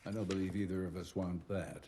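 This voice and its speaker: Actor as a deep, cold authority figure. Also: male voice, fairly even intonation, fairly quick, heavy background noise, natural voice. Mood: cold, dismissive. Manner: cool, formal. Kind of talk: video game drama.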